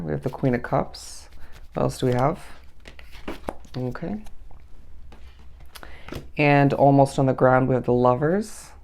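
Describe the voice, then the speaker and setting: A tarot reader giving a casual daily reading, with a raspy, tired voice in the small hours, musing aloud and often checking in with the listener. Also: medium pitch, darkish tone, slight background noise. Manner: meandering, musing, often asks the listener "okay?". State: tired, up at three in the morning.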